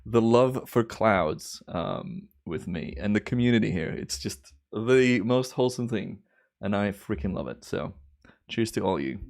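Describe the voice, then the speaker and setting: A man in his thirties from Belgium, with a Belgian accent shaded by American and Australian English, chatting easily as host of a live stream, with drawn-out cheerful exclamations. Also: fairly low pitch, lively intonation, vocal fry, darkish tone. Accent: Belgian, with American and Australian influence. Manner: laid-back, chatty, drawn-out enthusiastic exclamations. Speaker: timelapse livestream host. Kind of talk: relaxed live stream commentary.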